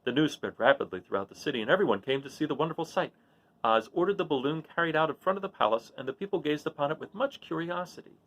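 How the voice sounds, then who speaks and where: Man, read-aloud storyteller, lively varied intonation, creaky touches, storybook narration. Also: medium pitch, lo-fi sound. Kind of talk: storybook narration with informal asides.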